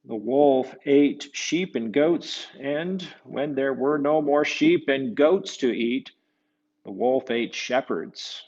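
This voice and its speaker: Slow, creaky-voiced man reading a storybook. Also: fairly high pitch, darkish tone, dull audio. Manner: musing, halting asides. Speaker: priest reading a children's picture book aloud. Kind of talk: storybook read-aloud with casual asides to children.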